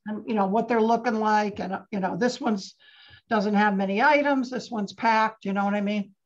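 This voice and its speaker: A woman's voice: medium pitch, normal speed. A woman on a committee video call gives matter-of-fact, reassuring input about council scheduling. She answers plainly and keeps it practical. The audio is dull and lo-fi. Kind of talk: meeting discussion.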